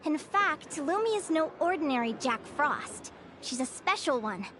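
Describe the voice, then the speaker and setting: A woman's voice: high voice, big pitch swings, a little slow, fairly bright tone, slight background noise, full character voice. A woman voicing a bubbly, curious young female guild advisor in a video game, with a light girlish voice and a chirpy, gushing manner. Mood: informative, proud.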